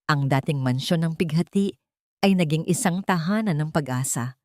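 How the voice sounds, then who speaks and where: A woman's voice: low-pitched, moderate pace, a little mellow, no echo, clean studio sound. A woman reading a scripted dramatic story as its narrator.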